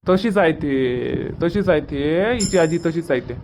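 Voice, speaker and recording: कार्टूनमधल्या मायाळू, पुन्हा पुन्हा समजूत काढणाऱ्या मामाला वैदर्भीय मराठीत आवाज देणारा पुरुष. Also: male voice, on the high side, expressive, unhurried, a little mellow. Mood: matter-of-fact. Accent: Vidarbha Marathi. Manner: repetitive, reassuring. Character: kindly village uncle, soothing and grumbling about stingy granny. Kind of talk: cartoon comedy dubbing.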